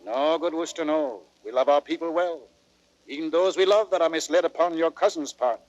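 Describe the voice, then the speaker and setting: Give the voice of an aging king played by a male actor, stern and regal, in a Shakespearean audio drama. His pitch sits a little high for a man. The delivery is measured and declamatory, recorded very dry. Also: darkish tone, no room sound, lo-fi sound, natural voice. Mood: solemn, firm. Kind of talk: classical Shakespearean radio drama acting.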